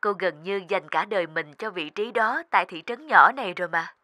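A woman playing a kind, patient telephone operator in a cartoon, speaking politely and gently. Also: mid-range voice, fairly quick, lightly acted. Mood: tender.

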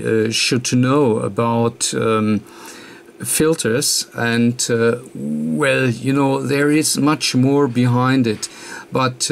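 A man presenting an electronics tutorial, explaining off the cuff in a halting, hesitant way with frequent pauses mid-sentence. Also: mid-range voice, creaky, a little hiss or hum. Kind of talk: unscripted technical explanation. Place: indoor workbench, hands and papers filmed.

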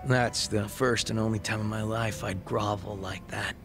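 A man voicing a laid-back, wistful gang leader in his thirties in a video game, reflective, unhurried and casually profane, with a gravelly voice. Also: on the low side, fairly even intonation, over music. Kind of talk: video game cutscene dialogue acting.

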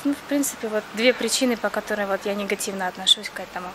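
A woman's voice: mid-range voice, fast talker, background noise. A young woman from Crimea, earnestly answering interview questions in plain speech with frequent hesitations. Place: outdoors near a café.

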